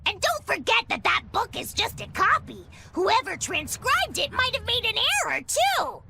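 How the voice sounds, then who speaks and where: An actor voicing a small, excitable, cowardly creature in a video game, with a squeaky, cutesy voice and a whiny, complaining manner. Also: normal speed, vocal fry, very noisy, full character voice. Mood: matter-of-fact. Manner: complaining, exclaiming. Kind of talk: animated video game dialogue.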